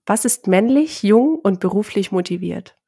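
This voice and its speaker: A woman co-hosting a casual podcast while she has a cold, relaxed and friendly, laughing lightly. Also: medium pitch, normal speed, breathy edge. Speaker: podcast co-host. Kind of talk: casual chat.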